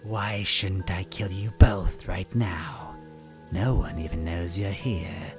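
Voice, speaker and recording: A woman's voice: a bass voice, fairly even intonation, takes it slowly, over music, full character voice. A woman voicing a hideous, gleefully cruel bean witch in a storybook reading, taunting with a theatrical sneer. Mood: hissing menace.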